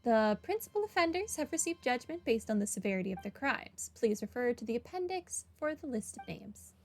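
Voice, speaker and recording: High-voiced woman doing casual game commentary. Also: very animated, fairly quick, a little mellow. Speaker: gaming video host. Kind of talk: casual playthrough commentary, reading game text aloud. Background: game music.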